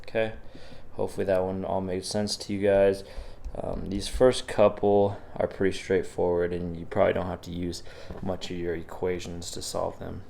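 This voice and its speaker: A man's voice: sits low, completely flat delivery, moderate pace, creaky, hardly any pauses, warm tone, a little hiss or hum. A man, sick and a bit sniffly, teaching in a casual, encouraging way as he walks through practice problems in a video lecture. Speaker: physics teacher.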